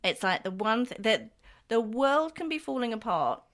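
A woman's voice: a little high, very animated, normal speed, fairly bright tone. Chatty woman telling anecdotes. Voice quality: youthful-sounding voice she tries to smooth out. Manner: chatty anecdotal storytelling with frequent laughs. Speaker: podcast co-host. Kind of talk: casual chat.

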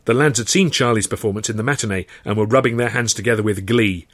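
A man's voice: lowish voice, fast talker, dry recording, clean studio sound. A man reading a first-person novel aloud as scripted audiobook narration. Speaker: author reading his own novel, narrator.

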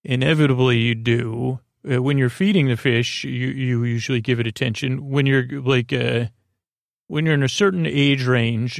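A man hosting a bedtime podcast in a rambling, halting casual monologue, repeating himself and drifting into tangents with a gravelly voice. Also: medium pitch, dry recording, clean studio sound. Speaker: podcast host.

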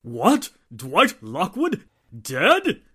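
Pompous, wildly expressive theatrical actor. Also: male voice, sits high, extremely slow, breathy edge, heavily acted. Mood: shocked. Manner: pompous, formal. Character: haughty, theatrical male stage actor, indignant. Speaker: actor in a radio drama. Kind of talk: 1940s radio acting.

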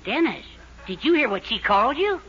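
An American actor playing a brash, bossy husband in a 1940s radio sitcom, talking in gruff, slangy bluster. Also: male voice, very high-pitched, very animated, fairly quick. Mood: triumphant. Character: brash, bossy henpecked husband newly lording it over women. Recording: muffled, hissy 1940s radio recording.

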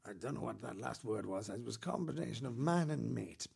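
A man's voice: brisk, gravelly, a little mellow, breathy edge. A man co-hosting a comedy podcast, reading a listener email aloud in a playful, exaggerated way. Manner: playful, exaggerated reading with big pitch jumps. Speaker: podcast co-host.

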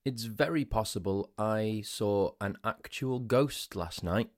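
A man's voice: on the low side, takes it slowly, loud music behind the voice. A man hosting his own podcast, sounding groggy as if just woken up, telling a story in a rambling, chatty way with rhetorical questions and restarts. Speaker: podcast host. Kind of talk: casual solo podcast storytelling.

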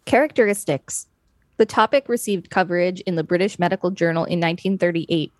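A woman's voice: fairly low pitch, fairly quick, no room sound. A woman co-hosting a podcast, chatting casually and reading an article aloud. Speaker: podcast co-host.

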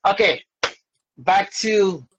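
A man's voice: high-pitched, lively intonation, takes it slowly. An American man hosting a casual chat in an effusive, slangy way, often repeating himself. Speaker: podcast host. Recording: dull, roomy, lo-fi audio.

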